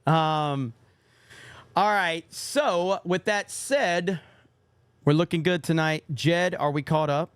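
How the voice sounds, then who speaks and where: A man's voice: fairly high pitch, slow, smooth, dry recording. A young man hosting a casual livestream, reading out viewer donations and cursing offhandedly. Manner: reads viewer donations aloud, swears casually. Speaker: livestream podcast host.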